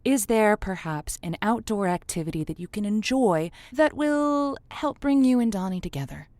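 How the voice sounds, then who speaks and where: A woman's voice: mid-range voice, animated, moderate pace, darkish tone, no room sound, close to an everyday voice. A woman playing an earnest, indulgent radio advice host in an improvised audio comedy, soothing as she counsels callers. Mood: earnest, counselling.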